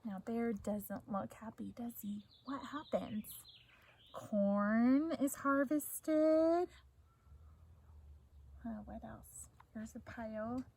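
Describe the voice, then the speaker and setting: Slow-paced woman teacher reading to children. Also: on the high side, darkish tone, husky. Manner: explanatory, prompting listeners with questions. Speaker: teacher reading aloud to pupils. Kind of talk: read-aloud story with questions to children.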